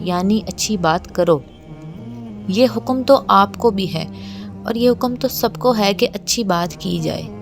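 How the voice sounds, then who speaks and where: A woman giving a religious explainer talk in a didactic, admonishing manner. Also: mid-range voice. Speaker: religious lecturer. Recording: clear music behind the voice. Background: background music.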